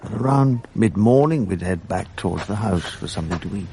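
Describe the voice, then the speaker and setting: An actor playing a wistful man who narrates memories of his boyhood in a measured, reflective radio drama style. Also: fairly low pitch, lively intonation, dark tone. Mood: nostalgic. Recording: noisy archive radio drama recording.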